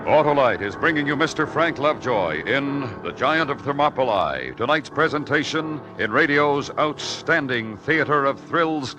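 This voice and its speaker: A man's voice: mid-range voice, animated, over loud music. A man introducing a 1950s radio suspense show as its announcer, formal and dramatic. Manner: dramatic, formal, measured presentation. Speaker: program announcer.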